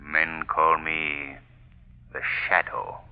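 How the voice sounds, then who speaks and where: Eerie, commanding unseen avenger, tinny radio. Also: male voice, rumbling low, monotone, very slow, clear, resonant tone, exaggerated voice. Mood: ominous, taunting. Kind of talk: 1930s-40s radio mystery acting. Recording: tinny narrow-band vintage radio recording.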